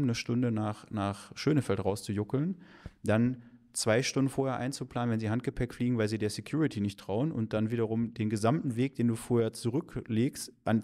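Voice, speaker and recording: A young man co-hosting a casual podcast in a studio, rambling through quick explanations into a handheld mic. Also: mid-range voice, a little mellow. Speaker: podcast co-host. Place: studio with green plant wall. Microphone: handheld dynamic mic.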